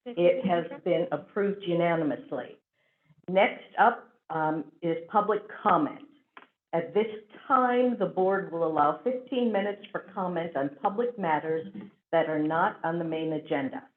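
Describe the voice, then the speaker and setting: A woman's voice: lowish voice, unhurried. A woman chairing a school board meeting, running through procedure with frequent fillers. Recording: tinny phone-like audio.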